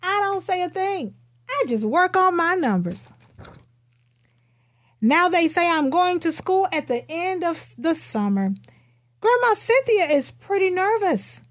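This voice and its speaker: A woman voicing a quiet, matter-of-fact young kindergarten girl who tells her own storybook tale in simple, expressive phrases. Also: very high-pitched, measured pace, dry recording, studio quality, exaggerated voice. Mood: earnest, matter-of-fact.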